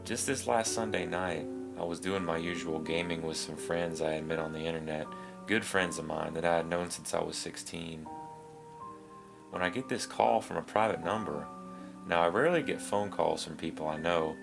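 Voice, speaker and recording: A man's voice: low voice, normal speed, creaky. A man reads scripted first-person creepy stories as a narrator. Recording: music behind the voice.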